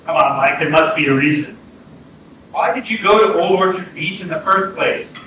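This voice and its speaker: An actor playing a probing, insistent small-town police interrogator in a staged play reading. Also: male voice, on the high side, normal speed, close to an everyday voice. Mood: coaxing, insistent. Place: arts center stage. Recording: echoey hall, distant mic, faint hum.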